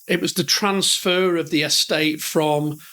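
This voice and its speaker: Middle-aged guy from the north of england, rambles and restarts, kinda high voice. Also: steady tone, measured pace, pushed and bright. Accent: northern English. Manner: rambling, self-deprecating, frequent restarts. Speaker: podcast guest. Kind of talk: conversational storytelling.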